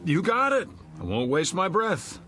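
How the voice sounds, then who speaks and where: An actor playing an irritable, hungover husband in a suspense drama, sarcastic, bewildered and exasperated. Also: male voice, high voice, huge pitch swings, unhurried, bright, forceful tone, faint music behind, exaggerated voice. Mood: annoyed.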